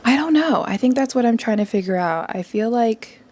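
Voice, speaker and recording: A young woman, a guest in a casual conversation, talking in an animated, chatty way and quick to agree. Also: fairly high pitch. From New York area.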